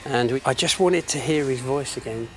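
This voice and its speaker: A man's voice: medium pitch, normal speed, soft background music, rough recording. A man in his sixties chatting casually and reminiscing as a guest in a barn workshop. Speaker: guest bringing an heirloom for repair. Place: large timber barn workshop.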